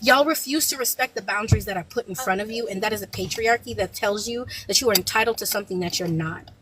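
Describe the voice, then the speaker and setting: A woman panelist with a soft voice, speaking firmly and emphatically in a heated debate. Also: medium pitch, fast, noisy background. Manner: firm, lecturing, emphatic.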